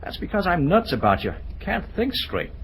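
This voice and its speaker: A man's voice: high-pitched, huge pitch swings, moderate pace, vocal fry, a bit bright, breathy edge, full character voice, poor audio. An actor playing a blunt, lovesick young man, stubborn and earnest, in an old-time radio drama. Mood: jealous, earnest. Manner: tactless, earnest.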